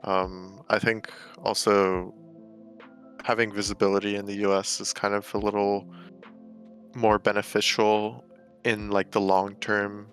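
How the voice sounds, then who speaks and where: Gravelly young American man, bright tone. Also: on the low side, level tone, a little slow, soft background music. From United States. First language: Romanian. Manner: filler-heavy, loose and rambling. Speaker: guest, professional GeoGuessr player. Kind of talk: casual chat.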